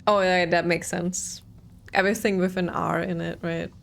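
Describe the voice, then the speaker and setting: A woman's voice: lowish voice, flat delivery, normal speed, creaky. A young woman whose first language is not English, chatting casually while playing a video game and laughing often. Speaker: gameplay streamer. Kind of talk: casual gameplay commentary.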